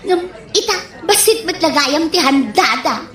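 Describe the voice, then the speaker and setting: A woman acting a high-voiced gossipy Ilocano neighbor. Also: animated, a little slow, fairly bright tone. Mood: gossipy complaining. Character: fault-finding gossipy neighborhood woman, a mother. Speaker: radio drama actor. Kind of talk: Ilocano comedy radio drama. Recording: roomy echoey sound.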